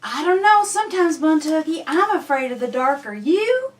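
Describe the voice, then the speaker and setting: Lady doing a sweet folksy grandma for a kids show, really high voice, super animated. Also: normal speed, far from the mic. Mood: confiding. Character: sweet chatty grandmother with a big stuffed bear. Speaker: children's show host. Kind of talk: children's show banter.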